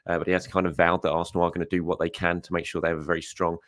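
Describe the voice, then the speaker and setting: Croaky young guy hosting a football news show, deep low voice, dry close sound, lots of ums and restarts. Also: flat delivery, rapid-fire, a bit bright, dry recording. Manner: chatty, with frequent fillers and self-corrections. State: hoarse. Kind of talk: casual solo commentary.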